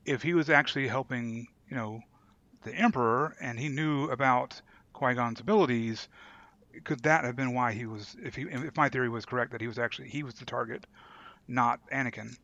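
A man's voice: mid-range voice, brisk, sharp tone, dry recording, muffled recording. A man co-hosting a podcast in casual fan discussion, thinking aloud tentatively as he works through his points. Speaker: podcast host.

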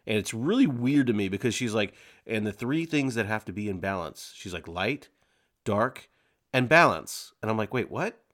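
A man co-hosting a podcast in casual discussion, retelling things in an animated way and acting out quotes. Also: fairly low pitch, normal speed. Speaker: podcast co-host. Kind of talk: casual discussion of a fiction audiobook.

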